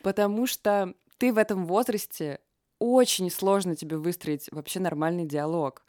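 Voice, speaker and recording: A woman with a lowish voice hosts a relaxed podcast interview. She asks questions playfully and slips in jokes. The sound is dry and close. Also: normal speed, no room sound. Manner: playful, jokey, laughs easily. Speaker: podcast host.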